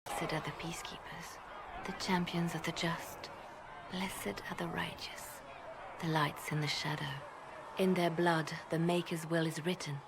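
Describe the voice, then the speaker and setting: Solemn believer, anguished, hushed, lowish pitch, game cutscene, noisy background. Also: female voice, steady tone, a little slow. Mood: solemn prayer. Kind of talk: dramatic video game dialogue. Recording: clear background noise.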